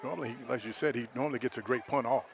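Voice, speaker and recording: Casual American color commentator, mid-pitched, slightly noisy. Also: male voice, very fast, gravelly. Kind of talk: casual football analysis. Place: outdoor stadium.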